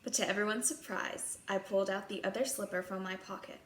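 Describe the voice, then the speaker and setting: A woman's voice: mid-range voice, fairly even intonation, a little fast, fairly bright tone. A woman narrating a scripted children's fairy tale in the first person, in character as a princess. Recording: echoey room, clear background noise.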